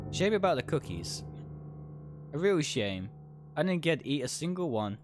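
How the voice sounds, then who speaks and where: A man's voice: fairly high pitch, sing-song, normal speed. A man voicing an uneasy, wary young male protagonist of a visual novel, bewildered and dry. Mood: politely awkward. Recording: music behind the voice.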